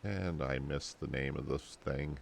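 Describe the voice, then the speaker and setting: A man's voice: a bass voice, a little slow, creaky, slight background noise. A man streaming a game, giving casual commentary and reading the game text aloud.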